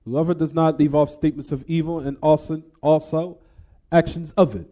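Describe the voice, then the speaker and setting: A man's voice: fairly high pitch, steady tone, moderate pace, dark tone. A man teaching religion in an Islamic lecture, explaining point by point with repetition and weaving Arabic terms and formulas into his speech. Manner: didactic, repeats and restates points.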